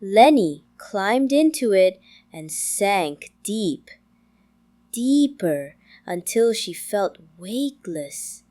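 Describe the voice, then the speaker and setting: A woman narrating a children's bedtime story in a calm, gentle manner, with frequent pauses between short phrases. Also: medium pitch. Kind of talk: slow, soothing scripted bedtime storytelling for toddlers.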